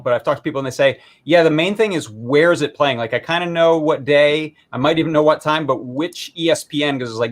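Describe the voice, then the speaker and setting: Casual man explaining a tech demo. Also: medium pitch. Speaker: maker presenting a hobby electronics project. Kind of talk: casual live demo talk, explaining technical details.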